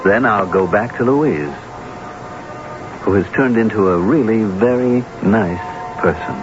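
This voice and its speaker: An actor playing a scheming, smooth-talking husband with an uneasy conscience in a radio drama. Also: male voice, lowish voice, a little slow, lightly acted. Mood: rueful. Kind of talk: radio drama acting and first-person narration. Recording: dull, noisy old radio broadcast.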